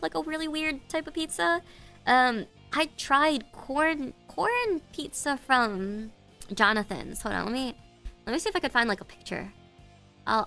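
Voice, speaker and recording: A woman's voice: sits high, creaky, bright, forceful tone. A woman with a congested, stuffy voice, chatting casually with her stream audience, giggling and stumbling over her words. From United States. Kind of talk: casual live-stream chat.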